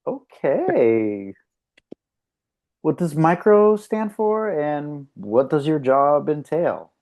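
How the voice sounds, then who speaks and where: A woman's voice: low-pitched, very animated, drawn-out words, dark tone, full character voice. Direct, probing woman on a date acted. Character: direct, curious date with a Mexican grandfather. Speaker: actor at a live script read. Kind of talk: conversational screenplay table read.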